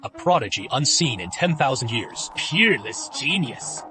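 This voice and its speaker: A man's voice: mid-range voice, very animated, a little slow, music in the background. An actor dubbing a fervent young male sect disciple who declaims his loyalty. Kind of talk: anime motion-comic dub.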